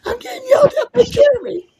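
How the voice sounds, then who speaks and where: A woman who has lost most of her voice, chatting on a group call in a strained, cracking squeak. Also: warm tone, poor audio. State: losing her voice, hoarse squeak. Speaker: call-in panelist. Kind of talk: casual group chat.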